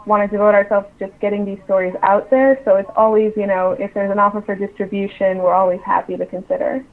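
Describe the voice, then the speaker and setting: A woman's voice: medium pitch, steady tone, quick pace, phone-quality sound. A woman answering interview questions as a publisher, explaining things casually over a remote call.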